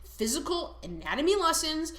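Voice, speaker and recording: A woman's voice: high voice, very animated, moderate pace, a bit bright, echoey room. A woman co-hosting a podcast, trading playful, mock-indignant jabs in casual comedic banter. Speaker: podcast co-host.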